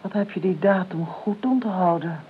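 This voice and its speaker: A woman's voice: lowish voice, lightly acted. Woman acting a sardonic older aunt, tinny old recording. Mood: probing. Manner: measured, dry, drawing out key words. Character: sardonic, calculating older aunt, sharp-tongued and imperious. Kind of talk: Dutch radio play acting. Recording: tinny, band-limited old radio recording, clear noise.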